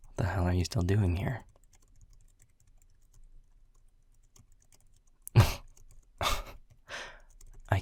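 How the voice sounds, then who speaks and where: A man's voice: a little mellow, dry recording, full character voice. An actor playing a world-weary, sarcastic male middle manager who grumbles and teases dryly. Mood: dry grumpy. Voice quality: low gravelly husky voice. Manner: sarcastic, grumbling, dry teasing. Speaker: boss giving a farewell speech. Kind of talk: audio roleplay acting. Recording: dry close mic.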